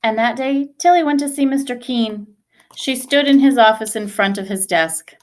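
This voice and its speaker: A woman in her thirties reading a children's storybook aloud like a teacher, expressively and with deliberate emphasis on repeated words. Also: a little high. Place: small indoor room.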